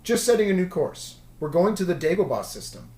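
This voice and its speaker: A man's voice: high voice, sing-song, fairly quick, mellow, echoey room, pitched above the performer's own voice. A man voicing an earnest young rebel space pilot in a storybook reading. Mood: determined.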